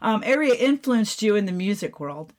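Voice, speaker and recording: A woman's voice: medium pitch, lively intonation, normal speed. A middle-aged American woman hosting a casual radio interview, chatty and effusive as she talks. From Florida, United States.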